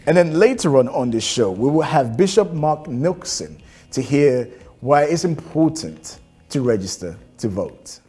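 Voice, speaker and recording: A man hosting a TV interview show, reading measured, scripted introductions. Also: mid-range voice, very animated, warm tone, slightly rough. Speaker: TV show host. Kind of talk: presenting and interviewing.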